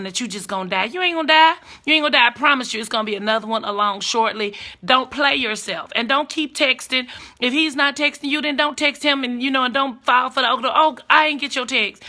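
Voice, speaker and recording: Blunt, sassy woman in her forties, hoarse but bright-toned, live-streaming advice from her phone. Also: high voice. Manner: blunt, sassy, talks straight to viewers.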